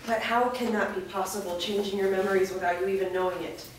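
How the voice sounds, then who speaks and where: A woman plays a skeptical young woman in a fantasy drama, bewildered and questioning. Her hesitant voice is average in pitch and carries from a distance across a very reverberant room with faint noise. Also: steady tone, fast, close to an everyday voice. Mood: uneasy. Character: skeptical, bewildered young woman, vampire hunter's loved one. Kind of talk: staged reading of a fantasy drama.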